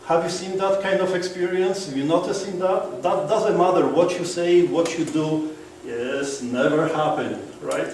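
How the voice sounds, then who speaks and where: A man with a strong Polish accent gives a seminar talk, pitched a bit high. He keeps asking the room whether they agree. He is recorded from far back in a very echoey room with light noise. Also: a little slow, darkish tone. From Poland. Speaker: guest speaker. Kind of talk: seminar presentation. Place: meeting room.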